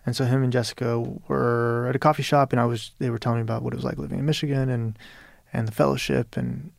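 A man's voice: mid-range voice, fairly even intonation, quick pace, vocal fry, no room sound, clean studio sound. A man from Peru talking as an interview guest, rambling with many restarts and "you know". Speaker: interview guest, novelist and radio producer. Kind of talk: casual interview conversation.

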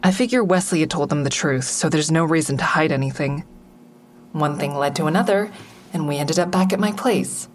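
A woman's voice: deep voice, fairly quick, a little hiss or hum, close to an everyday voice. An actress playing a guarded, agitated, sardonic woman who narrates and speaks in an audio drama. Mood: tense, brooding. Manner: sardonic, exasperated. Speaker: narrator and lead character. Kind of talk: first-person audio drama narration and dialogue.

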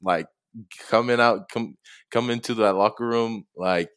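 A man's voice: on the low side, a little slow, fairly bright tone, clean tone, no echo. A young man from Tonga giving casual, somewhat hesitant interview answers as a college football player. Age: young adult.

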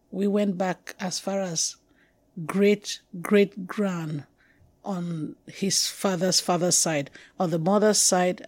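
A middle-aged woman from Cameroon with a Cameroonian accent, talking thoughtfully as an interview guest, halting and restarting, slowing down to stress words. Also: mid-range voice, darkish tone, no room sound. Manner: reflective, halting, with restarts and slow emphatic stretches. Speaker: guest poet. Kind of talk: conversational interview.

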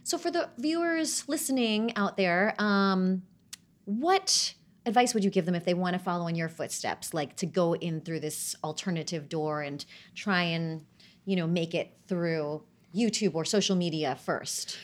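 A woman's voice: on the low side, measured pace. A woman hosting a casual interview, asking questions and encouraging her guest with short affirmations. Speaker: podcast host.

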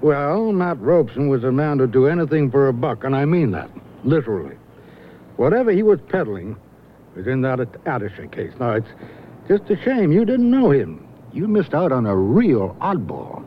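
Guy acting a gossipy know-it-all in an old radio play, super animated, thin tinny audio. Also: medium pitch, normal speed, close to an everyday voice. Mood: wry, gossipy. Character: gossipy, knowing man sizing up an oddball acquaintance. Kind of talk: 1970s radio drama acting. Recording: tinny, band-limited old radio recording with hiss.

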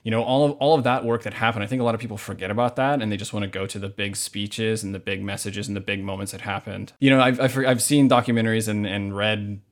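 A man speaking as a novelist guest in a measured, thoughtful way. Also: on the low side, steady tone. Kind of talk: literary reading and thoughtful interview answers.

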